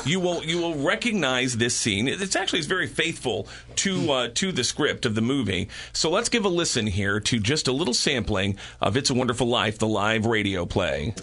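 Male radio interviewer, American, medium pitch, chatty and joking, conversational delivery. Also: lively intonation, normal speed, few pauses, fairly bright tone, slightly rough. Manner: jokey, talkative. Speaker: radio interviewer and cast member.

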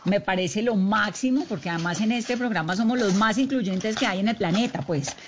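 Woman host interviewer, affirming and quick to respond, muffled audio with light hiss. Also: medium pitch. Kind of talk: interview questions.

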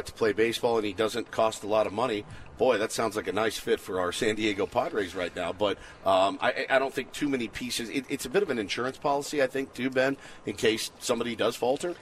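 A man's voice: fairly low pitch, fairly quick, a little hiss or hum. A man with an American accent co-hosting sports radio with informal, casual banter. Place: on location at a baseball spring training camp.

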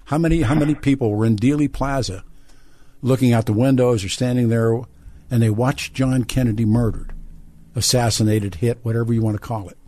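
A man's voice: mid-range voice, fairly even intonation, a little fast, warm tone. A man hosting a radio call-in show, talking casually and repeating phrases for emphasis. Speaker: radio talk show host.

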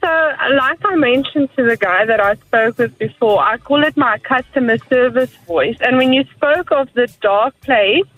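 Chatty woman calling in, kinda high voice, dry mic. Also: moderate pace, hardly any pauses, dry recording, phone-quality sound, faint music behind. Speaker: caller. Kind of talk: phone-in call.

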